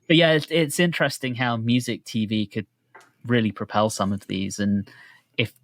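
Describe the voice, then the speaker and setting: A man's voice: medium pitch, fairly even intonation, normal speed, no echo. A man co-hosting a podcast, talking casually and reflectively as he explains his thoughts on music. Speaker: podcast host.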